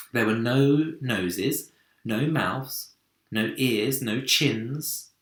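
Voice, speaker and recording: A man reading a children's story aloud in an expressive, theatrical manner. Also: medium pitch. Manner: dramatic, theatrical. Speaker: storybook reader, teacher. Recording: roomy echo.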